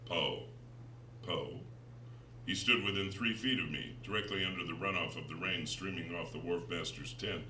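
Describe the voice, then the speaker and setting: Deep-voiced man reading dramatically, roomy recording. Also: steady tone, fairly quick, few pauses, bright, forceful tone. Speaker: author reading his own novel. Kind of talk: dramatic literary narration. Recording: roomy, distant, noisy recording.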